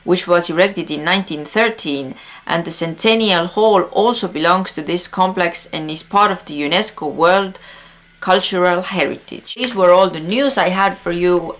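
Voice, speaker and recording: A woman whose first language is Estonian, speaking English with an Estonian accent as a news vlog host, earnest, with frequent pauses and filler sounds. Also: on the low side, fairly bright tone.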